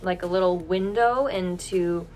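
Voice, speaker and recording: A woman's voice: fairly low pitch, measured pace, a little mellow. A young American woman talking casually to her camera, rambling with lots of fillers and restarts. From United States. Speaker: vlogger. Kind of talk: casual vlog talk to camera. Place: indoors, a rented home room.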